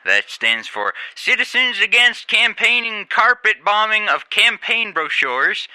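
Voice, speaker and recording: A man puts on an urgent anchor for a radio comedy newscast. The voice is high and very sharp, with an announcer's delivery and a dry, close sound. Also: no room sound, exaggerated voice, poor audio. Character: solemn, urgent male news anchor.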